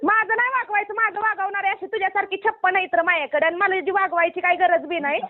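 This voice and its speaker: A woman's voice: very high voice, steady tone, fast talker. A woman with a rural Maharashtrian Marathi accent, arguing heatedly on the phone in a scolding, taunting, challenging way. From Maharashtra, India. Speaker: caller in a phone quarrel. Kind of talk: heated informal phone argument. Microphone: phone line. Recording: tinny narrowband phone call recording.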